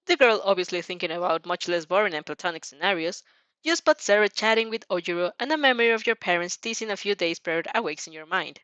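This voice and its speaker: A woman's voice: lowish voice, a bit bright, dry recording. A woman reading fan fiction aloud as informal storytelling, giggly and prone to breaking off with comments. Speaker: fan fiction reader and dubber.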